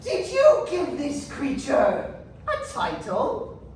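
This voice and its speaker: A stern witch-trial judge acted by a woman, pompous and zealous, declaiming slowly with big swoops of pitch. It is theatrical stage acting, captured from far off in a very echoey hall. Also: huge pitch swings, darkish tone, slight background noise, exaggerated voice. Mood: stern questioning.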